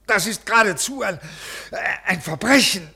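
Husky, desperate ailing official. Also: male voice, sits high, lively intonation, pushed and bright, heavily acted. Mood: desperate, indignant. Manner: halting, stammering, breaking off mid-sentence. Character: desperate ailing male civil servant, pleading and indignant. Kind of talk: 1950s German radio play acting. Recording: vintage 1950s radio recording.

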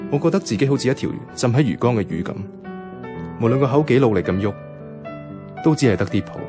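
Lonely low-voiced young Hong Kong man acted. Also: close to an everyday voice. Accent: Hong Kong Cantonese. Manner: subdued, weary, reflective. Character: lonely, heartbroken, withdrawn young man, bank worker. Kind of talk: radio drama acting and inner monologue.

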